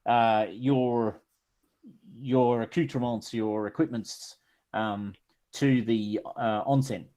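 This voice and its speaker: A deferential male servant, eager to please and a little flustered, as a man puts him on. He pauses often but stays courteous throughout. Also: mid-range voice, fairly even intonation, warm tone, lightly acted. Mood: obliging. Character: polite male servant of a headman.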